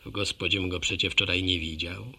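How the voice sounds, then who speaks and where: An actor playing a folksy older village man with a rural Polish accent, telling a wry, rambling radio drama monologue in a gravelly voice. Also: low-pitched, little pitch movement, brisk, sharp tone, heavily acted. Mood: wry, musing.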